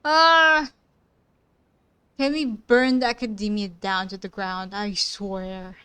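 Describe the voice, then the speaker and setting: A woman's voice: medium pitch, fairly even intonation, slow, pushed and bright, clean tone. A woman streamer giving casual, informal, reactive commentary over a game.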